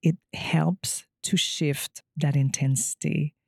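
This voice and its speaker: A woman's voice: sits low, fairly even intonation, takes it slowly, vocal fry, darkish tone, breathy edge, no room sound, clean studio sound. A woman telling her life story as a podcast guest, searching for words as she goes. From Quebec, Canada. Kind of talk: personal storytelling interview.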